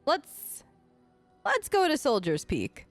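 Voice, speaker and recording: Animated, breezy woman role-playing. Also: high-pitched, slow pace, faint music behind. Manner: casual, breezy, lightly wry. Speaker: gamer voicing her player character. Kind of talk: improvised role-play let's play.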